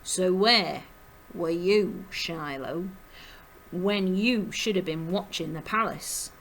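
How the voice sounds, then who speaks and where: A woman's voice: lowish voice, slow pace, a bit bright, a little hiss or hum, low-quality recording. A woman voicing a stern, agitated female jackrabbit officer, speaking with accusing emphasis in a storybook reading.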